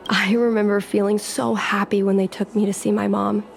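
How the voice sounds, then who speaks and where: A woman's voice: mid-range voice, flat delivery, moderate pace, vocal fry, close to an everyday voice. A woman voicing an earnest, proud young aspiring pilot in an English video game dub, telling things expressively. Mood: reminiscing. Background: background music.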